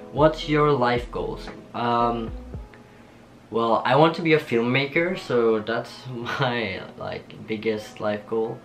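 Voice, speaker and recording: Slow, giggly young Norwegian man. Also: mid-range voice. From Norway. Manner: relaxed, giggly, lots of ums and restarts. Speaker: vlogger. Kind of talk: casual get-to-know-me chat. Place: small bedroom studio with shelves and posters. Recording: soft guitar music underneath.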